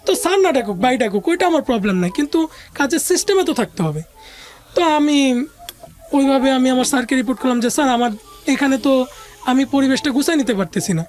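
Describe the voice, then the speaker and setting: A woman's voice: high voice, lively intonation, a little fast, a little mellow. A woman with a Bangladeshi accent telling a personal story as an interview guest, in casual, conversational language with some English words mixed in. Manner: casual colloquial Bengali with occasional English business words. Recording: music playing under the voice.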